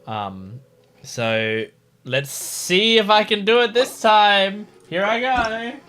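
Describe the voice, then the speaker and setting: A man giving casual, chatty and excitable commentary as he streams a video game. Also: high voice, slow, soft background music.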